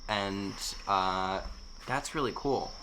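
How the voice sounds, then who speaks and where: A man co-hosting a casual podcast chat, speaking haltingly with restarts. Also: fairly low pitch, background noise. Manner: hesitant, halting speech.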